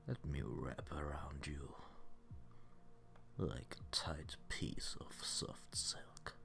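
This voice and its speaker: A man voicing a seductive, domineering incubus demon in an ASMR roleplay, teasing in an intimate, breathy murmur that often drops to a whisper. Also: rumbling low, fairly bright tone, heavily acted. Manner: slow, teasing, commanding.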